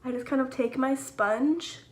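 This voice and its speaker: Young female beauty vlogger, higher voice, slightly congested, chatty and filler-heavy. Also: unhurried, darkish tone, a little hiss or hum. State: stuffy from a cold. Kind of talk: casual makeup tutorial.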